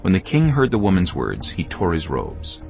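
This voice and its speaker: A man reading scripted Bible narration in a grave, measured storytelling manner. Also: deep voice, wildly swooping pitch, vocal fry, lo-fi sound, soft background music.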